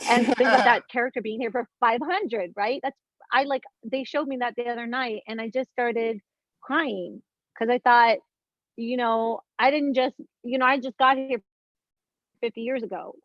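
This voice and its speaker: A woman's voice: fairly high pitch, fairly even intonation, normal speed, no echo. A woman of fifty in a casual spiritual conversation as a guest, earnest and searching, circling back over her words as she thinks aloud. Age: 50s. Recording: dull lo-fi dry recording.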